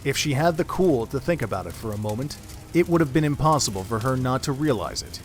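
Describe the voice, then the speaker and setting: A man's voice: medium pitch, expressive, fast, soft background music. A man giving solemn, literary scripted narration for an audio drama.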